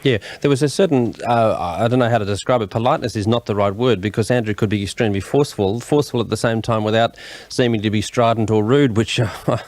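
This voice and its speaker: A man's voice: lowish voice, fast talker, hardly any pauses, a little mellow, dry recording. A middle-aged man with an Australian accent, hosting a conversational live radio interview. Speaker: radio host.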